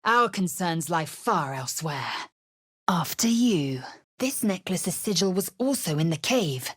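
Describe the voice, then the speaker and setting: A fierce alien warrior woman for a fantasy video game. Her voice sits fairly low and is husky and raspy, recorded very dry. She speaks in clipped, formal phrases with imperious disdain. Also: big pitch swings, unhurried, no room sound, exaggerated voice. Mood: cold, wary. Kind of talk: video game character acting.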